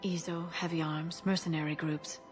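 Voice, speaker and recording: A woman's voice: low-pitched, little pitch movement, moderate pace, natural voice. A woman playing a composed, businesslike military officer in a video game, serious in manner. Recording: music under the dialogue.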